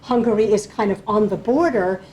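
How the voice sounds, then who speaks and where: A woman's voice: medium pitch, fairly quick, mellow, a little hiss or hum, low-quality recording. A woman speaking as a panelist, giving an academic lecture with slides in an explanatory way, often checking in with the audience.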